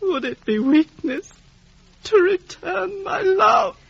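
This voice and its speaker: A woman's voice: very high voice, lively intonation, slow, vocal fry, dry recording, heavily acted. An actress playing a bitter, possessive older woman with anguished, theatrical delivery in a 1950s radio drama. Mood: anguished pleading. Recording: dull vintage radio recording.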